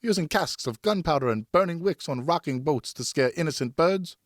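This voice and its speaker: A male narrator plays an upset French wife and mother in a historical audiobook. The voice is lifted high and swings widely as she scolds and pleads, indignant and emphatic. The sound is close and dry. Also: lively intonation, normal speed, dry recording, lightly acted. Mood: hurt, indignant. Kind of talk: audiobook character acting.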